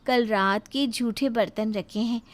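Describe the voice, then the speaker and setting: Woman playing a cheeky, high-pitched lazy young daughter-in-law. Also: measured pace, lightly acted. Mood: mock-polite. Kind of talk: scripted Hindi moral story acting.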